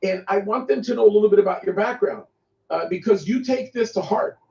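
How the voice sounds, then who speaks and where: High, gravelly-voiced male host. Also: brisk, dark tone. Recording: echoey, distant, dull lo-fi sound.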